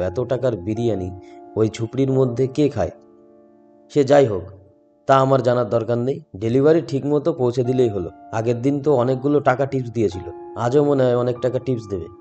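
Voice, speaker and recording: Male first-person horror narrator, soft dark tone, music underneath. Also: medium pitch. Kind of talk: scripted horror story narration. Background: background music.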